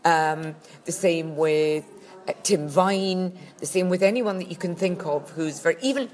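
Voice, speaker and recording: Loud, grumpy woman co-host with a lower voice, bickering on a phone-recorded podcast. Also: a little slow. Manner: blunt, grumpy and sardonic, loud. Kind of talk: bickering casual podcast chat. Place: busy charity shop. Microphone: phone held up by co-host.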